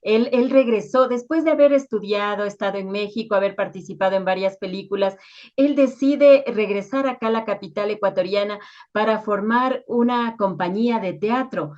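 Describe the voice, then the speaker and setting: A woman's voice: medium pitch, normal speed, a little mellow. Lady doing an interview, asking long journalist questions, audio a bit muffled.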